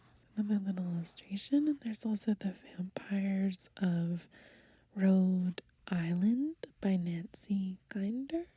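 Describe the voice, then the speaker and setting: A young woman doing soft-spoken ASMR in a gentle, unhurried, breathy whisper, recorded close to the microphone outdoors. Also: mid-range voice, animated, no room sound. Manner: gentle, unhurried, softly murmured. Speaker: ASMR video host. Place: outdoors on grass in light wind.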